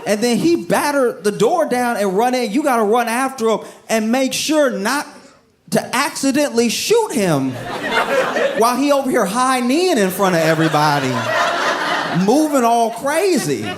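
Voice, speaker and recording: Animated male stand-up comic, high voice. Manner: animated storytelling, acts out scenes in quick bursts. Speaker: stand-up comedian. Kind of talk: stand-up routine. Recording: live stage recording with audience noise. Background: audience laughter.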